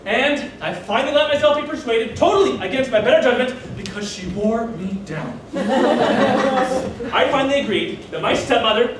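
A man's voice: very high-pitched, lively intonation, moderate pace. A man playing a frazzled, hard-done-by groom in a theatrical stage monologue, exasperated, melodramatic and emphatic. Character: frazzled, hard-done-by groom ranting about his wedding. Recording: echoey room, distant mic, faint noise.

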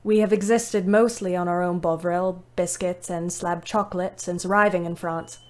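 A woman playing a weary young British ambulance driver in her twenties, narrating her war diary in a literary, wry, measured way. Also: fairly low pitch, steady tone, a little mellow, lightly acted. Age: 20s. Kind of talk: scripted audio drama narration.

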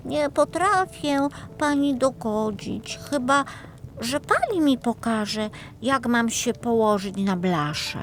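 A woman voicing a timid but clever little girl, speaking softly and carefully like a child in a fairy-tale audio drama. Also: animated, slow pace, slight background noise. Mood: meek, sly. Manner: high, soft, careful childlike delivery.

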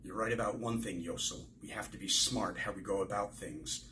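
A bitter butcher from a novel, heavy with grief and anger, read out by an older man. The voice is on the low side, with a little room noise behind it. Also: normal speed, bright, forceful tone. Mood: sorrowful warning.

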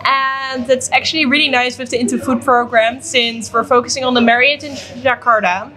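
A woman's voice: sits high, steady tone, moderate pace, sharp tone, rough recording. A young woman from the Netherlands talking fluently and cheerfully about her studies. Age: young adult. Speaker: hotel management student. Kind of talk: casual testimonial. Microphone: handheld mic.

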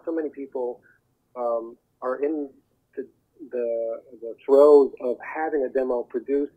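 Man on a thin, husky phone line. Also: medium pitch. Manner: halting, searching for words. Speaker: guest, voiceover agent. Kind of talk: interview answers, explanatory advice. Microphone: telephone. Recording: muffled narrowband phone line.

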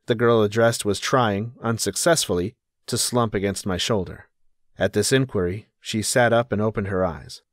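A man performs a reflective male narrator in an audiobook drama. The voice sits low and is recorded dry. He observes people closely and tells it as literature. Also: moderate pace, no echo, close to an everyday voice. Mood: uneasy.

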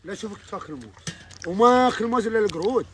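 A man with a very high voice bickers with his friends outdoors at a desert camp. He talks fast, grumbling and laughing mockingly. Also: lively intonation. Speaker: friend on the trip. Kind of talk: casual banter.

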